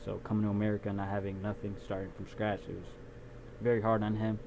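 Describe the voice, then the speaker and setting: A young man being interviewed about his video production company, talking in a relaxed, rambling way with lots of ums. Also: lowish voice, fast talker, creaky. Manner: relaxed storyteller, many ums and restarts. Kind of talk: casual interview. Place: small recording studio. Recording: noisy background.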